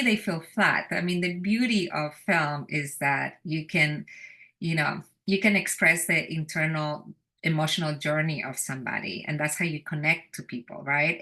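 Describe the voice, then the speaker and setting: Low bright voiced woman, loud, lots of um and like, film editor chatting. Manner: emotional, loose, many fillers and restarts. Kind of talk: casual interview answer.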